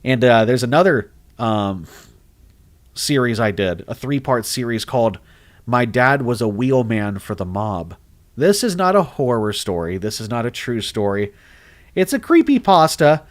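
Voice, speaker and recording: A man who narrates horror stories, chatting casually on a livestream, rambling and animated, with lots of restarts. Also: medium pitch, measured pace. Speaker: horror story narrator and streamer. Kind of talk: casual livestream chat reading comments.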